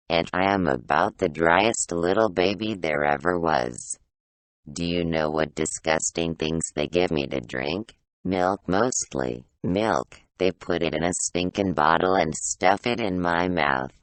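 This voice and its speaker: A man voicing a cranky, whiskey-craving ancient old man in a baby's body, grumbling in a folksy, gravelly voice for an audio play. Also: low voice, no room sound, rough recording. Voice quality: gravelly, rusty voice. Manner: grumbling, folksy, wheedling complaints. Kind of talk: audio play character acting.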